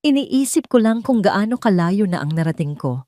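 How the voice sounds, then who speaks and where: A woman's voice: medium pitch, sing-song, moderate pace, warm tone, no echo, clean studio sound, lightly acted. Narrator as a serene, reflective woman in love. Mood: peaceful, reflective.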